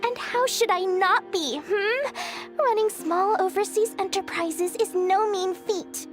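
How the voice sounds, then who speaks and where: A woman's voice: very high voice, slow pace. A woman playing a theatrical, wheedling businesswoman in a video game, effusive and dramatic with plenty of flourish. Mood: aggrieved, melodramatic. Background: music.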